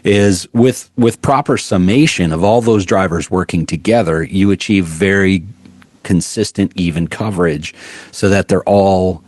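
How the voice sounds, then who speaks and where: A man's voice: sits low, a little slow, darkish tone, dry recording. A man at a trade show interview, explaining products with many fillers and restarts. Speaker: product specialist. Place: busy trade show floor.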